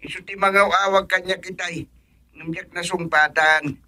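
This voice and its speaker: A man's voice: high voice, steady tone, normal speed, bright, forceful tone, dry recording. A man playing a harried husband in a radio drama, explaining an emergency insistently. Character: harried husband phoning home about an emergency.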